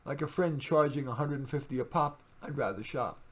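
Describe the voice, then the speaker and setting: A man with a mid-pitched voice presents poems he wrote himself. He declaims the verse with theatrical emphasis and speaks casually between pieces. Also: fairly quick. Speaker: poet reading own work. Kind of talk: poetry reading with casual asides.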